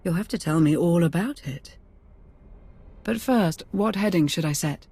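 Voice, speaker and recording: Female Sith advisor for a video game: wry, composed, understated, husky and a little low. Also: unhurried, dark tone, no echo. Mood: wry, relieved. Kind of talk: video game cutscene acting.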